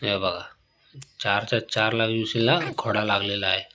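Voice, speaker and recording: A man's voice: lowish voice, little pitch movement, normal speed, a crowd in the background. A man giving casual, blunt gameplay commentary full of slang as a gaming video host.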